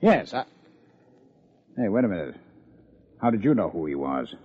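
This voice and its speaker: An American actor playing a dry, needling police lieutenant with a sardonic manner in an old-time radio detective drama. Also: male voice, deep voice, very animated, normal speed, slightly rough, heavily acted. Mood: matter-of-fact. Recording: muffled old radio recording.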